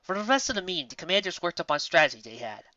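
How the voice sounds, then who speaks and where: A man's voice: high-pitched, very animated, racing, pushed and bright, dry recording, lo-fi sound. A man narrating a fantasy story as an amateur audiobook reader.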